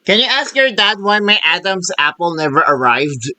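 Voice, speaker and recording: A man's voice: sits high, very animated, moderate pace, pushed and bright. A man hosting a podcast in casual chat, chatty and self-deprecating, laughing often. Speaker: podcast host.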